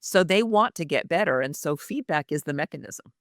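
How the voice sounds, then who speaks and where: A woman's voice: low voice, expressive, fairly quick, no echo. Dry-sounding woman coach, earnest. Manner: thoughtful, earnest, reflective. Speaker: executive communication coach. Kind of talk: interview guest, conversational.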